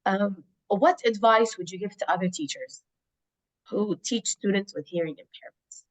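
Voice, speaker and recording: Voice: mid-range voice, moderate pace, low-quality recording. An interviewer asking research questions with short, encouraging prompts.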